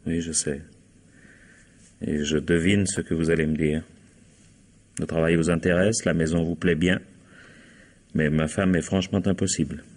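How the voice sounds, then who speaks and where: An actor playing a courteous, quietly scheming bourgeois husband and employer in a French radio drama, formal and suave. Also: male voice, rumbling low, flat delivery, normal speed, pauses often. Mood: confiding, resolute.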